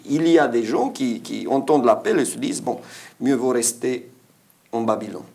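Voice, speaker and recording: A man's voice: medium pitch, normal speed, creaky, darkish tone. A man leading a Bible study discussion, asking questions in a hesitant way. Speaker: discussion leader.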